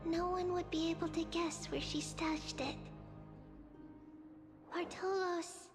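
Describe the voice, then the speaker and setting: A woman playing a gentle, serene little girl seer in a video game, speaking in a composed, caring way. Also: extremely high pitch, fairly even intonation, slow, over music. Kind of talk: scripted video game acting.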